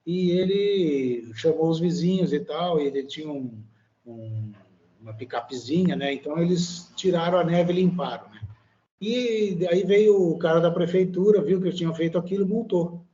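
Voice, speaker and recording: A man's voice: a little high, normal speed, mellow, dull audio. A Brazilian man, a guest in a casual interview, talking hesitantly with many fillers and tag questions. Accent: Brazilian Portuguese. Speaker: guest, immigrant living in Italy.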